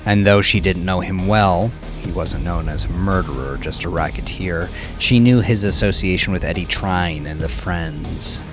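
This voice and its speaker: Deep voiced guy reading a gritty crime story, grave and suspenseful, music playing under him. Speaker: narrator. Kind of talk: dramatic scripted narration of crime fiction. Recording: music bed under narration.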